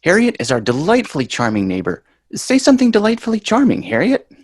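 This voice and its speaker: Man playing a sheepish, husky sitcom schoolboy. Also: high-pitched, wildly swooping pitch, fast, no room sound, clean studio sound, heavily acted. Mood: wry, encouraging. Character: sheepish, apologetic sitcom schoolboy, smooth-talking son. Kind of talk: amateur table read of a TV sitcom.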